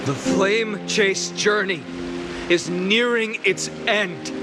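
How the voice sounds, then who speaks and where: A woman playing a solemn, resolute young noble leader in a game, giving a formal, measured public oration. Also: mid-range voice, natural voice. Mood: resolute, stirring. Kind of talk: dramatic game voice acting, formal speech. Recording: loud orchestral music behind the voice. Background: background music.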